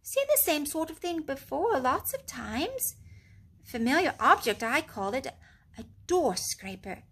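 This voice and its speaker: A woman voicing a small, fussy male mole who complains in a high, whining, crying tone. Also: big pitch swings, a little slow, a bit bright, exaggerated voice. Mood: grumbling. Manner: pettish, complaining, exasperated.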